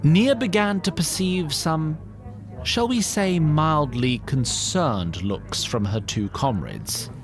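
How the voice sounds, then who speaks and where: A man narrating a scripted audio story in a measured, dryly wry way. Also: on the high side, very animated, over music.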